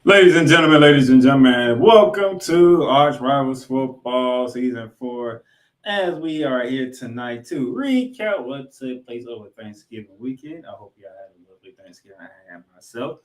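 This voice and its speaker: A male sports podcast host with a mid-pitched, very animated voice. He is showy and emphatic, swinging from loud to quiet, in an echoing room. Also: moderate pace. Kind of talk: hosting banter and football recap.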